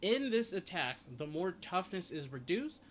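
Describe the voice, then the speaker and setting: Higher-pitched man, casual gaming guide, noisy recording. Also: very animated, moderate pace. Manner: rambling, repeats and rephrases himself. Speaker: gaming video host. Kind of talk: casual game character guide commentary. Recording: clearly noisy home recording.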